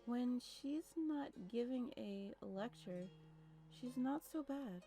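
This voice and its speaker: A woman's voice: a little high, expressive, takes it slowly, warm tone, faint music behind. A woman streamer giving casual, chatty commentary while playing a visual novel.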